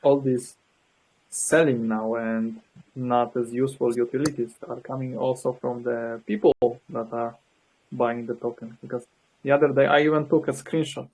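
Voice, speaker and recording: A man's voice: medium pitch, steady tone, moderate pace, creaky, darkish tone, husky, poor audio. Male guest, middle voice, hesitant storyteller. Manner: anecdotal, hesitant. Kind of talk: casual chat.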